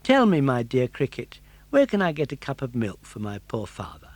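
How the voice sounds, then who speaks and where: A man's voice: mid-range voice, huge pitch swings, moderate pace, no room sound. A man voicing an earnest, polite young boy puppet in an eager manner for an audiobook. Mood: humble, grateful.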